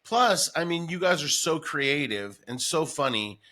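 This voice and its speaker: A man's voice: fairly high pitch, lively intonation, a little slow, sharp tone. An American man hosting a casual interview, talking in an enthusiastic, rambling storyteller's way. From Denver, United States. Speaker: podcast host, former morning radio voice talent.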